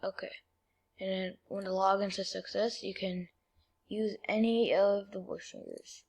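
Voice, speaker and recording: A female-sounding voice at a middling pitch walks through software steps. The delivery is informal and halting, in the manner of a homemade screen-recording tutorial. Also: fairly even intonation, vocal fry, breathy edge. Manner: informal, halting step-by-step instructions. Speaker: video creator giving a tutorial.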